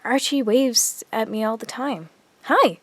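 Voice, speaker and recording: A woman's voice: on the high side, measured pace, no room sound. A woman playing an earnest, insecure girl of twelve confiding in her diary in a radio drama. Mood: excited, shy. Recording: music under the voice.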